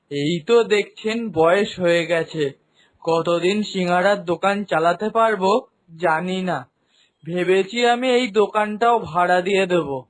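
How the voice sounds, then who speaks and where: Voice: medium pitch, natural voice. An actor playing a humble, aging male village snack seller in a cartoon, with folksy, plaintive speech and a sighing manner. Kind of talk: Bengali cartoon voice acting.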